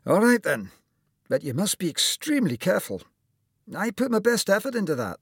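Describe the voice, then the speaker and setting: A man's voice: high voice, very animated, brisk, husky, no echo, studio quality, pitched above the performer's own voice, heavily acted. A man voicing a kindly, encouraging older male surgeon.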